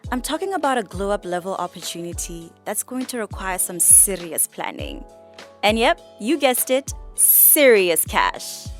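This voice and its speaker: An actress playing an ambitious, earnest young niece in a radio drama, a young woman with a Botswana accent. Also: medium pitch. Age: young adult. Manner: animated, earnest, persuasive. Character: young, ambitious, organised niece starting a catering business. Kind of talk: radio drama acting, code-switching dialogue.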